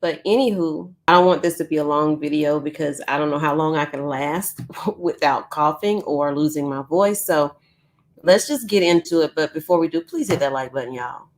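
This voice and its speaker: A woman's voice: sits low, animated, normal speed. A woman hosting a casual live news stream from home, chatty with frequent ums, who is losing her voice. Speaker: YouTube news channel host.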